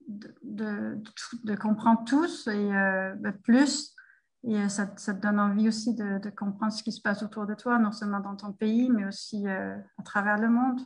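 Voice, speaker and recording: A woman's voice: medium pitch, vocal fry. A middle-aged woman from Denmark, speaking French as a guest in a casual remote interview, hesitant and full of fillers and restarts. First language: Danish.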